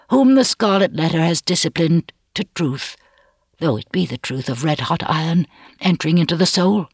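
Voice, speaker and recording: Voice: medium pitch, slightly rough, no room sound, clean studio sound. A reader voicing an anguished but resolute, dignified woman with solemn, archaic, pleading speech in a storybook reading.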